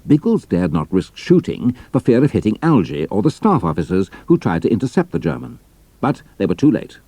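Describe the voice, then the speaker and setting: A man's voice: mid-range voice, big pitch swings, fairly quick, creaky, mellow, breathy edge, no room sound. A man with a British accent reading scripted adventure narration in a dramatic storytelling style.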